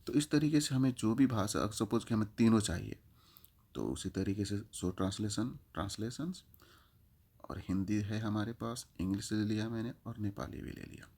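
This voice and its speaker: A man's voice: fairly low pitch, normal speed, a little mellow. A man giving an informal step-by-step app walkthrough, explaining with examples and repeating his instructions. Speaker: app tutorial presenter.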